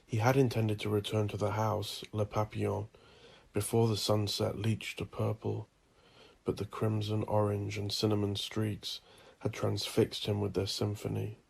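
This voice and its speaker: A man narrating a dark audio drama in a low, gravelly voice with plenty of vocal fry. His tone stays flat and husky as he delivers each line slowly and ominously.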